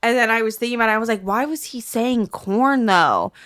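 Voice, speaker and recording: A woman's voice: fairly high pitch, brisk, a bit bright. A woman co-hosting a podcast, swearing freely and teasing her friends as she tells stories in casual chat, acting out quotes as she goes. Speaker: podcast co-host.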